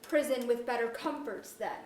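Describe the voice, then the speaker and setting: A woman's voice: fairly high pitch, animated, normal speed, a little mellow, natural voice. A woman acting a proud, embattled sorcerer monarch in a staged play reading, speaking haughty, formal archaic verse. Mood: bitter. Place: museum auditorium. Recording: echoey auditorium, distant mic, faint noise.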